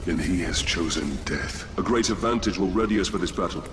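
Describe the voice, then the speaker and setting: A man's voice: low-pitched, brisk, natural voice. An actor playing a grim, grieving male ranger in a video game, speaking in a grave, brooding way. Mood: grim, resolute. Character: grim, grieving human ranger bent on revenge. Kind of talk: dramatic video game acting. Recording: loud music behind.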